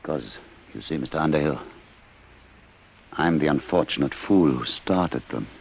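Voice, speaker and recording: An actor playing a weary, remorseful inventor, grave and deliberate, in vintage radio drama style. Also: male voice, rumbling low, lively intonation, gravelly, breathy edge, exaggerated voice. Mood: guarded, confessing. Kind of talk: 1950s radio drama acting. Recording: muffled hissy old radio recording.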